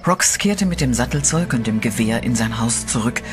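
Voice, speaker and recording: A man narrates a horror radio play in a steady, dramatic manner. His voice sits in a middle range, and loud music runs beneath the telling. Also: medium pitch, moderate pace.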